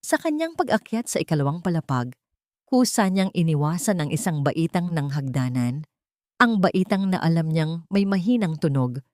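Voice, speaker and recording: A woman with a Filipino accent narrating a dramatic story in a solemn, deliberate manner. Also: low-pitched, expressive, darkish tone, no echo, clean studio sound. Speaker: audiobook narrator.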